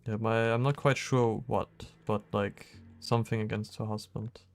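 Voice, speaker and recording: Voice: medium pitch, steady tone, moderate pace, soft background music. A game streamer commentating casually while playing, jokey and thinking aloud.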